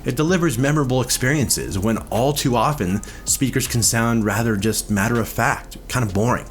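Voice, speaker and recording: A man's voice: mid-range voice, moderate pace, slight background noise. A man reading a scripted product review as a narrator and reviewer.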